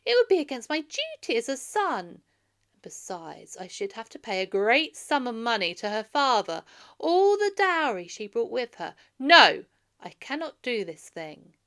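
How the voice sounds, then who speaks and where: An earnest, grieving young prince read by a woman addresses an assembly in a storybook. The delivery is emphatic and oratorical, bright in tone, with pitch that moves a great deal. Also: big pitch swings, unhurried, full character voice. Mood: indignant.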